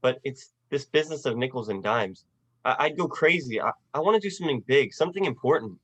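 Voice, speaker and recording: A man playing an earnest, restless young man with big dreams in a radio play, speaking haltingly. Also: mid-range voice, animated, fairly bright tone, dry recording. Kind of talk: 1940s-style radio play acting.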